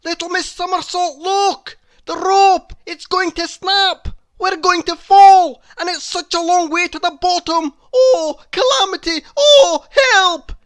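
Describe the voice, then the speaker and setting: A woman's voice: very high voice, a little slow, hardly any pauses, pitched above the performer's own voice. A woman voicing a jittery, cowardly little male storybook hero, exclaiming in a flustered way. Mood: panicked.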